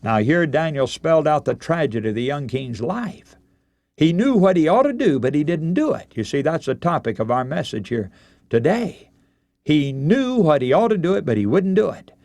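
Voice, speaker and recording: A man delivers a radio sermon as a solemn storyteller. His pitch moves widely as he leans on key words with deliberate, dramatic emphasis. The sound is dry and close, with very little room. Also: very animated, darkish tone, dry recording. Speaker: preacher.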